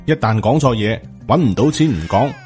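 A man's voice: fairly low pitch, lively intonation, brisk, a little mellow. A man with a Hong Kong Cantonese accent narrating a supernatural novel as an audiobook. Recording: music behind the voice. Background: background music.

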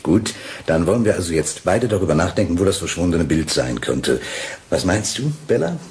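An actor playing a cold, domineering husband in a radio play, stern, reproachful and condescending, swinging from calm to harsh. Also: male voice, low voice, a little fast. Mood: cold, controlled. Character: cold, domineering husband tormenting his fragile wife. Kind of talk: German radio play acting.

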